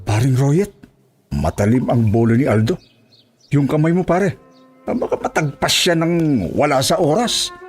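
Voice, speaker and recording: A man's voice: medium pitch, normal speed, long pauses, a little mellow, husky, soft background music, natural voice. A man playing a boisterous, joking best buddy in a horror audio drama, teasing and bantering. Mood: playful warning.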